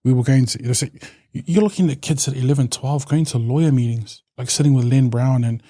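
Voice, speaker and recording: Samoan-New man, mellow and reflective. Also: medium pitch, fairly even intonation, fairly quick, no room sound. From New Zealand. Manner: reflective, with many restarts and fillers. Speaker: podcast guest. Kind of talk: casual interview talk.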